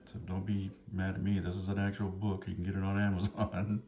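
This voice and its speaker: Deep gravelly middle-aged man, rambling casually. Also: flat delivery, fairly quick, mellow. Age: 50s. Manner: amused, chuckling, hesitant and apologetic. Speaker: vlogger showing books from a collection. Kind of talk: casual rambling chat to viewers. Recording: noticeable background noise.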